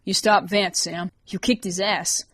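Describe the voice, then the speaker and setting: A woman's voice: medium pitch, fairly even intonation, unhurried, a bit bright, slightly rough, dry recording. A woman voicing an earnest young woman who pleads and pushes back, defiant but hurt. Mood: gentle coaxing.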